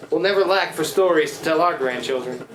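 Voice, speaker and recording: A man's voice: a little high, a little fast, noisy background, natural voice. A man acts out a scruffy riverboat skipper in a live radio drama. He talks in a rustic, folksy way, stumbling over words with a plucky, adoring heart. The room echoes around him. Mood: adoring, jaunty. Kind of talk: live radio play acting. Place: live theatre performance in a reverberant room.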